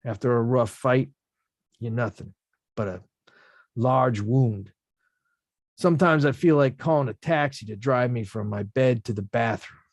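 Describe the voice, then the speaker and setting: A man's voice: mid-range voice, measured pace, long pauses, no echo, clean studio sound. Rocky, a blunt boxer, read by a man. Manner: slangy, blunt, self-mocking. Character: Rocky, a rough-talking young male boxer. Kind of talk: script table read.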